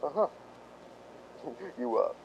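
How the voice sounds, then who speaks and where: A man acting a folksy, sly police lieutenant who toys with suspects in a halting, mock-humble way, in radio drama style. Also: lo-fi sound, slight background noise, natural voice. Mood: needling, mock-innocent.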